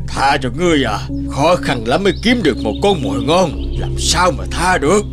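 A man's voice: on the high side, expressive, normal speed, lightly acted. A man voicing a blustering stammering lion. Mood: mocking. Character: gruff male lion, lonely and softening. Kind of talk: cartoon voice acting. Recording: music behind.

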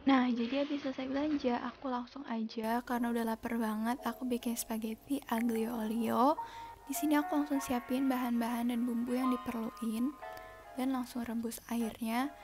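A young woman from Indonesia narrating her daily vlog as a calm, unhurried voice-over, recorded separately in a quiet room. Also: on the high side. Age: young adult. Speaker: vlogger. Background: soft background music.

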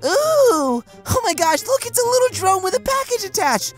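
A man voicing an excitable, sweet, naive young dragon head in a cartoon comic dub. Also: very high-pitched, moderate pace, soft background music, pitched above the performer's own voice. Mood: excited.

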